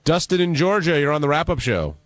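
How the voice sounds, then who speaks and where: A man's voice: a little high, very animated, fairly quick, noisy background. A man hosting a radio wrap-up show in a casual, chatty way with callers and guests.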